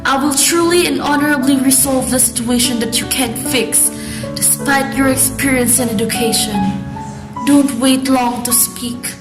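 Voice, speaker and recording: A woman's voice: high-pitched, moderate pace, natural voice. A woman playing a desperate, defiant young noblewoman in an amateur radio drama of Shakespeare in modern English. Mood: desperate, determined. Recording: roomy echo, loud music behind. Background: music.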